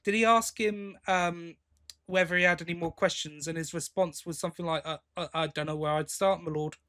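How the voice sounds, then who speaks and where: A man co-hosting a podcast, giving a casual review in a halting way, thinking aloud as he goes. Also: on the high side, fairly even intonation, fairly bright tone. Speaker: podcast co-host.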